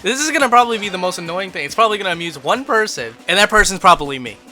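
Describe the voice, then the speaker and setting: A man's voice: high-pitched, expressive, very fast, bright, forceful tone. A man hosting a casual, rambling game tour commentary in a chatty, self-deprecating way. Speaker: video host. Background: background music.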